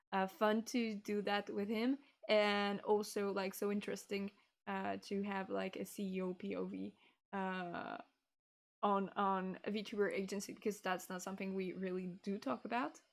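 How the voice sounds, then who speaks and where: A young woman hosting a casual livestream chat, reading viewer comments, halting and giggly. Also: mid-range voice. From France. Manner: giggly, halting, restarts often. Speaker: VTuber streamer hosting.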